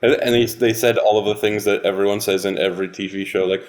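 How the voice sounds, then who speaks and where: A man chatting casually on a podcast, making wry remarks in a deadpan way. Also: lowish voice, fast talker.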